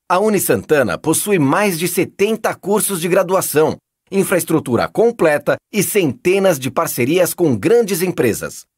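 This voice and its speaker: A man's voice: a little high, husky. A young man with a Brazilian accent reading scripted commercial and corporate narration as a professional voice actor.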